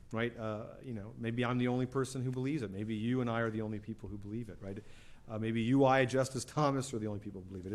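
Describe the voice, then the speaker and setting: Conversational male law professor. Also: medium pitch. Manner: conversational, frequent "right?" and "you know". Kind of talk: audience Q&A after a lecture. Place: lecture hall.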